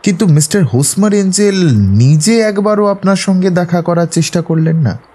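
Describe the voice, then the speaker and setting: An actor playing a composed detective, asking measured, inquisitive questions in an audio drama. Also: male voice, sits high, lively intonation, warm tone, breathy edge. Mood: probing. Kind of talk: dramatised audio story acting.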